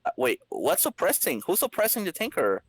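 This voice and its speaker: A man's voice: high voice, wildly swooping pitch, brisk, slight background noise. A man hosting a comedy podcast, switching between playful narration and casual banter. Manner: jokey, playful.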